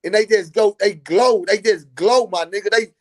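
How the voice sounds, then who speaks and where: An earnest man from Dallas with a drawl, speaking fairly high for a man. He preaches his point in a casual live chat and hammers it home by repeating lines. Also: moderate pace, darkish tone. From Dallas, Texas.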